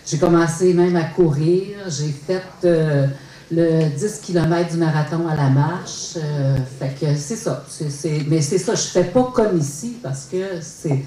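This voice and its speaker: A woman's voice: deep voice, unhurried, mellow, slight background noise. A woman in her fifties with a Québécois accent, giving a hesitant testimony at a public talk, full of fillers and restarts. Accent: Québécois French. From Quebec. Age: 50s. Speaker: research study participant. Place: lecture hall. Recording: roomy and distant.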